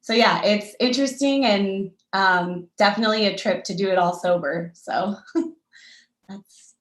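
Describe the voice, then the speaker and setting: Soft-spoken woman in an echoey room. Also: mid-range voice, measured pace. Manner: soft-spoken and hesitant, frequent ums. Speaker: realtor, panelist. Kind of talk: casual personal introduction.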